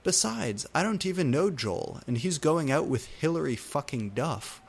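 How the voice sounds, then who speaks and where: A man's voice: on the high side, animated, moderate pace, vocal fry, breathy edge, voice pushed up, exaggerated voice. A man voicing a moody, dramatic teenage goth girl from fan fiction in an overwrought, emphatic way. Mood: earnest, catty.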